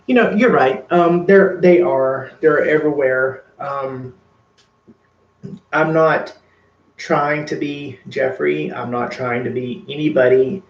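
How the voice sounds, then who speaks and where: A man's voice: mid-range voice, slow pace, roomy sound, highs cut off. A man casually chatting to his livestream viewers, rambling and earnest with many fillers. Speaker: makeup vlogger.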